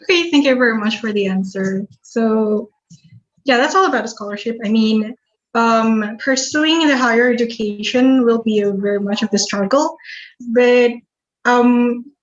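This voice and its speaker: A woman moderates a webinar, chatty and friendly. Her voice sits a little high. The video call audio sounds dull and lo-fi. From Indonesia. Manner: friendly and chatty, reads out audience questions.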